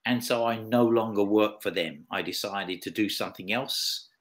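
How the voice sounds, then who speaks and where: A man's voice: fairly low pitch, normal speed, a bit bright. A man in his fifties with an English accent, teaching one-to-one conversation and repeating things to check with a learner. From England. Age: 50s. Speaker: English tutor. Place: online video lesson call.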